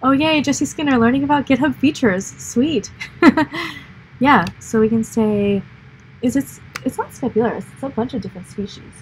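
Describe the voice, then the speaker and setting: A woman's voice: a little high, normal speed, a little hiss or hum, poor audio. A young American woman hosting a livestream, explaining things in a rambling, upbeat chat full of fillers. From United States. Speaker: livestream host. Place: indoor office or lab room.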